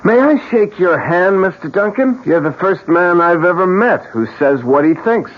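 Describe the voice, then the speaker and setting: An actor playing an eccentric, sardonic painter with a theatrical, mock-courteous manner in a radio drama. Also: male voice, high-pitched, lively intonation, moderate pace. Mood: amused, courteous. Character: eccentric, sardonic male painter, cheerfully morbid. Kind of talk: old-time radio drama acting. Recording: muffled, faintly hissy old radio recording.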